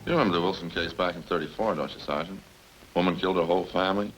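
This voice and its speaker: A man's voice: deep voice, racing, natural voice, low-quality recording. A man playing a talkative, smug murder suspect in a radio crime drama, folksy and cagey as he casually needles the police. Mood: chatty, smug. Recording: hissy old radio recording.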